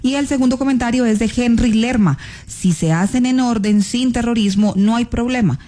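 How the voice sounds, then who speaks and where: A woman reading listener comments on air in a brisk, clear manner. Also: fairly high pitch, mellow.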